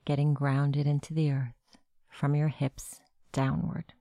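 A woman narrating a guided meditation with gentle, measured instructions. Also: deep voice, level tone, no echo, clean studio sound.

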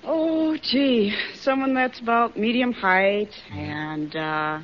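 Female quiz contestant, young, American, high voice, dull old radio sound. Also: slow. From Minneapolis, USA. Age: young adult. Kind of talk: answering interview questions. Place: studio stage before an audience. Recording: muffled, slightly noisy old radio recording.

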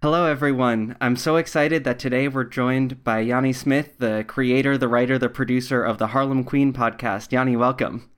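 A man's voice: mid-range voice, steady tone, moderate pace, few pauses, smooth. A man from New York state interviewing a guest on a podcast in a conversational style. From New York state, USA.

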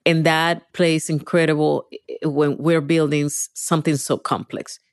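A woman's voice: low voice, flat delivery, a little slow, clean tone, dry recording. Female interviewee, middle-aged, Venezuelan accent, Spanish first language, emphatic conversational delivery in a dry recording. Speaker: interviewee, virtual reality engineer.

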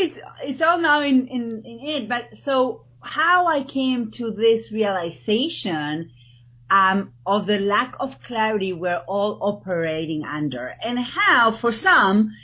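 Woman, Argentine accent, husky, animated, restarts sentences, dull lo-fi sound. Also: a little high, measured pace. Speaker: guest, TEDx organizer. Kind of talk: podcast interview.

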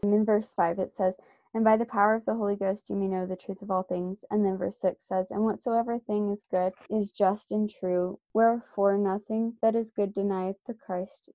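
A woman's voice: medium pitch, dry recording, highs cut off. A woman guest earnestly telling a personal story in a casual faith discussion.

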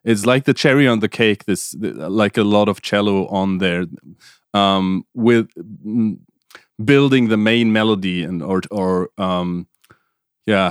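Smooth-voiced man, hesitant and giggly. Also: lowish voice, dry recording, clean studio sound. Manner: hesitant, repeats words, laughs often. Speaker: music podcast co-host. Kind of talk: casual chat.

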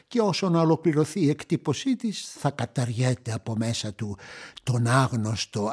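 A man narrating a radio play in a wistful, measured way. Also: mid-range voice, darkish tone, husky. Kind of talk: scripted radio drama narration.

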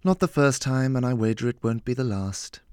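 A man voicing an aging gentleman mentor, kindly, courteous and wry, in audiobook dialogue. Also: mid-range voice, brisk, clean tone, dry recording, clean studio sound, lightly acted. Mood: wry, composed. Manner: flippant, courteous, composed.

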